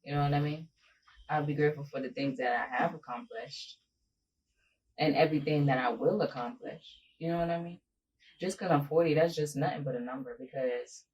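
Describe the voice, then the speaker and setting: A woman's voice: very deep voice, darkish tone. A young woman speaking as a daughter in a casual family chat, giving emphatic, motivational advice. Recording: distant mic with faint noise.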